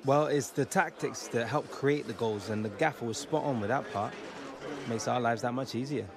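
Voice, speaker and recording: A man with a British accent playing a modest, polite young footballer giving a measured post-match interview in a game. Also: mid-range voice, crowd noise, close to an everyday voice. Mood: relaxed. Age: young adult. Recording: clear background ambience noise.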